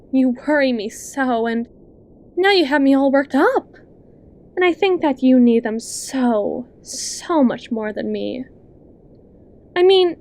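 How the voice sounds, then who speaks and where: A woman plays a sly, possessive best friend in an ASMR roleplay. Her voice is fairly high and soft, and she takes her time over every phrase. She coaxes and talks down to the listener like a hypnotist easing someone under her control. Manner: slow, coaxing, condescending, with lingering pauses.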